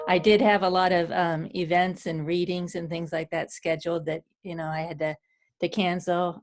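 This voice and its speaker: A woman who teaches at a university, talking in a chatty way on a group video call. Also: lowish voice. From central Pennsylvania.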